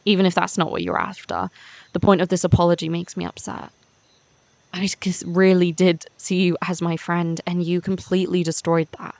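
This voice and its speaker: A woman's voice: fairly low pitch, normal speed, gravelly, darkish tone, no room sound. A young woman playing a hurt, unforgiving teenage schoolgirl in an amateur voiced game series, speaking in an emphatic conversational way. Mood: hurt, accusing.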